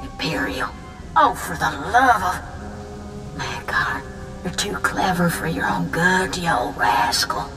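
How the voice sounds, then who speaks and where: Fierce resistance fighter, widely swinging voice. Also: female voice, lowish voice, sing-song, slow pace, far from the mic, music in the background. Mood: wary, grieving. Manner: blunt, fierce, hard-bitten. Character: tough, proud, battle-hardened older woman resistance fighter. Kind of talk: video game cutscene dialogue.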